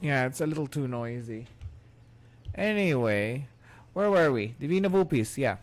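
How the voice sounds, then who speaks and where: A man's voice: medium pitch, lively intonation, measured pace, a little hiss or hum. A man chatting casually as a game streamer, easygoing, with muttered asides. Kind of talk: casual livestream chat.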